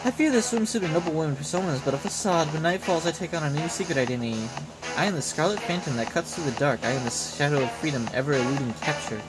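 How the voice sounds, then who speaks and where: A man's voice: fairly high pitch, quick pace, natural voice, rough recording. A man voicing a smug, haughty masked female phantom thief in a game, with boastful, theatrical, formal phrasing over music. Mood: grandiose. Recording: loud game music behind voice.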